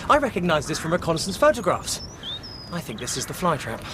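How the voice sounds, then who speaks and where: A brisk military specialist in a wartime radio drama. His voice is high for a man and very mobile, proper and understated, over clear background noise. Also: wildly swooping pitch.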